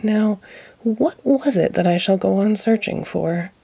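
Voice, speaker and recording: A woman voicing a pompous, excitable male puppet detective from a children's storybook, with grandiose announcements. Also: mid-range voice, unhurried, gravelly, slight background noise. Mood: self-important.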